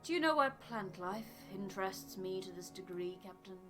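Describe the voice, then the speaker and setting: Woman doing a bookish aloof botanist guy from a video game, very proper n measured, bit of music behind. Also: mid-range voice, lively intonation, a bit bright, lightly acted. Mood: formal, musing. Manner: precise, formal, measured phrasing. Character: scholarly, aloof male botanist, formal and reflective. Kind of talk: voiced reading of video game dialogue.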